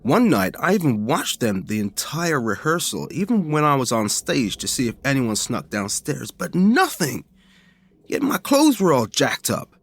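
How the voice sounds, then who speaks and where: A man narrating a first-person ghost story in an informal, expressive, conversational way, as a podcast reader. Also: mid-range voice, measured pace, slightly rough.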